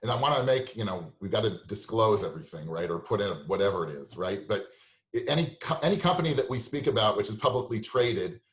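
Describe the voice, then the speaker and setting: A man's voice: fairly low pitch, expressive, fast, reverberant. A man hosting a show and interviewing a guest in a conversational, informal, slightly rambling way.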